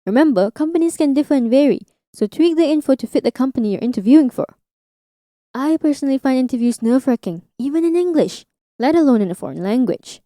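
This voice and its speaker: A woman's voice: high voice, lively intonation, fast talker, warm tone, no room sound, studio quality. A woman presenting a scripted educational podcast as host and language teacher.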